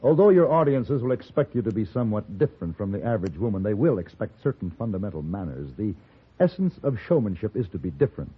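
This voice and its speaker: A suave, unflappable American gunfighter with a lowish, very expressive voice. His diction is formal, wry and precise. It sounds like a tinny, hissy old radio western. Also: quick pace, slightly rough. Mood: firm. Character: urbane, unflappable gentleman gunfighter turned stern tutor.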